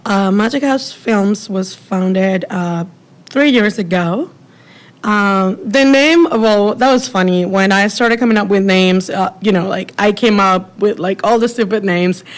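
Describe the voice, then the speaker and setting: A woman's voice: mid-range voice, measured pace. Venezuelan woman chatting about her work. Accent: Venezuelan Spanish. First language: Spanish. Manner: relaxed, chatty, frequent fillers like "um" and "like". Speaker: filmmaker guest. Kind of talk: casual interview answers.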